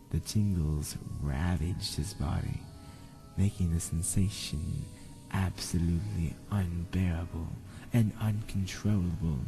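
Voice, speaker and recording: A man narrates an audio drama with deliberate, dramatic phrasing. His voice is low and dark with a gravelly creak, over faint music. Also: rough recording.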